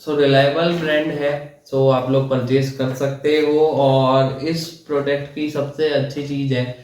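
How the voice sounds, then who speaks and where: Male product reviewer, unhurried halting delivery, echoey room sound. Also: medium pitch, level tone, darkish tone. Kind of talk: casual unboxing and product explanation.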